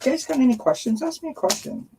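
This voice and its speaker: A woman's voice: high-pitched, fast, gravelly, dark tone. A woman with a scratchy voice chats casually and in a friendly way with live viewers as she hosts a card-reading stream. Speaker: livestream host reading oracle cards. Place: outdoors by a firepit.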